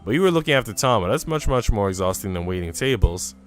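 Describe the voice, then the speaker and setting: A man voicing a kind, tired working mother, gently teasing, in a visual novel read-aloud. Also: lowish voice, big pitch swings, fast talker, soft background music.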